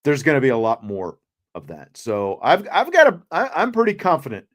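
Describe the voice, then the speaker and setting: A man's voice: mid-range voice, huge pitch swings, a little fast, fairly bright tone. An American man speaking as a sports talk show host, discussing teams in a casual, conversational style.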